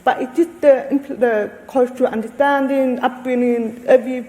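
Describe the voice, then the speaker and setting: Woman with a halting voice in a reverberant hall. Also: high-pitched, steady tone, mellow. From Australia. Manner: thoughtful, halting, restarts often. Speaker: researcher and panel guest. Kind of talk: panel discussion answers. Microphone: handheld microphone.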